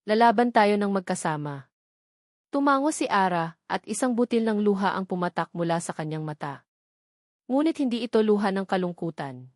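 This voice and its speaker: A woman's voice: medium pitch, lively intonation, fairly quick, dry recording, clean studio sound. A woman reading scripted dramatic narration of a romantic story.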